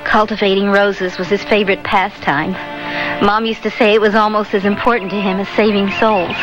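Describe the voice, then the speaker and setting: An actress playing an anxious, frightened young student in a radio drama, speaking earnestly and haltingly. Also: female voice, mid-range voice, little pitch movement, highs cut off, over loud music, natural voice. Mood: somber narration. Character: frightened, troubled young woman student haunted by nightmares. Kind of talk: 1970s radio drama acting.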